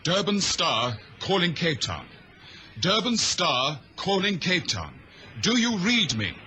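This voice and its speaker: A man's voice: high-pitched, big pitch swings, slow pace, pushed and bright, exaggerated voice. A man playing an anxious ship's radio operator in an old radio drama, urgent and procedural. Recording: noisy vintage radio recording.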